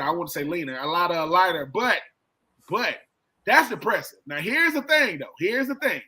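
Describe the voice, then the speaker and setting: A man's voice: high voice, pushed and bright. A middle-aged man, a former football player, talking sports in a casual, colloquial way, repeating himself and acting out quoted exchanges. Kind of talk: casual, animated sports storytelling.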